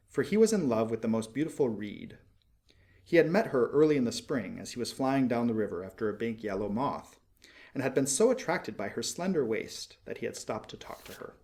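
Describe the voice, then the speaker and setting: A man narrates a children's storybook in a mid-pitched voice. His reading is expressive and scripted, with widely swinging intonation. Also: creaky. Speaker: audiobook narrator.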